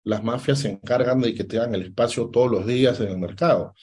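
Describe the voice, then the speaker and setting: A man, a political analyst answering interview questions, deliberate and sometimes stopping to search for a word. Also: mid-range voice, darkish tone, husky. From Peru. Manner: deliberate, stops to search for words.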